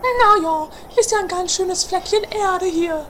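A woman's voice: very high-pitched, measured pace, over music. A woman playing a bossy, quarrelsome sister in an improvised comedy radio play, exclamatory, taunting and haughty. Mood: smug. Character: Mathilde, shrill, bossy, quarrelsome adventuring sister. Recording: faint noise, amateur 1980s radio play.

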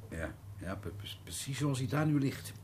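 An actor playing a nervous, obliging hotel employee in a radio play, anxious and deferential, with some stammering. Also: male voice, mid-range voice, expressive, normal speed, a little mellow, slight background noise, close to an everyday voice.

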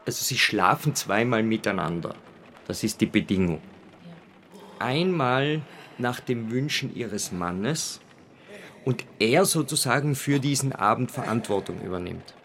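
An actor playing a dinner guest in an improvised radio comedy, explaining things in a deliberate, halting, natural way. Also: male voice, medium pitch, expressive, fairly bright tone, a little hiss or hum. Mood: matter-of-fact.

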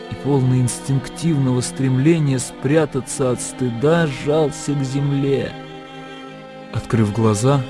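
A man giving a theatrical, expressive narration of a literary radio play. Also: mid-range voice. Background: music.

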